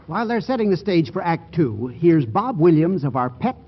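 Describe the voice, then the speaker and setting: A man's voice: a little high, big pitch swings, normal speed, very noisy. A man hosting and narrating a 1940s radio comedy from a script. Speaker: radio show host and narrator. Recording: muffled 1940s radio broadcast.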